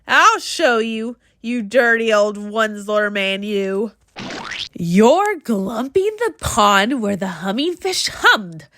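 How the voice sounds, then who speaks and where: A woman voicing a grumpy old creature in a children's storybook, scolding and indignant in a croaky, congested voice. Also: a little high, sing-song, takes it slowly, sharp tone, a little hiss or hum, exaggerated voice. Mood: snappish, scolding. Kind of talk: children's storybook read-aloud.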